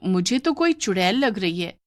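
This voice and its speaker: A woman voicing a curious, scared young schoolgirl, talking high and excitedly. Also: moderate pace, no echo, clean studio sound, lightly acted. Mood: frightened.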